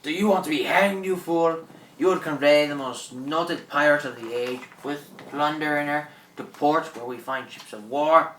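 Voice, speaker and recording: An actor playing a boastful, menacing old pirate in a theatrical radio play. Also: male voice, on the high side, normal speed, fairly bright tone, a little hiss or hum, close to an everyday voice. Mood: indignant. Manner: theatrical, gloating, cackling. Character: boastful old French pirate, greedy and menacing, newly revived. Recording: roomy, distant microphone.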